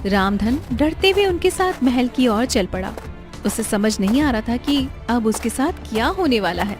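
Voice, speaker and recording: A woman narrates a storybook-style cartoon tale. Her voice sits fairly high, and clear background music plays beneath the scripted lines. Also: animated, normal speed.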